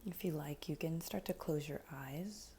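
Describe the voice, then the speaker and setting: Female meditation guide, soft and breathy with a dark, relaxed tone, soothing and unhurried, faint noise in the background. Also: sits low. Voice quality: soft, breathy, often near a whisper. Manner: soothing, unhurried, gentle instruction with long pauses.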